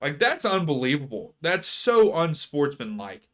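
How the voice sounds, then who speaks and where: A man hosting a live soccer watchalong stream, chatting casually with viewers and ranting about fouls. His voice is going hoarse. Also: on the high side, sing-song, a little slow. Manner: chatty, reads chat aloud, rants about fouls. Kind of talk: casual live match commentary.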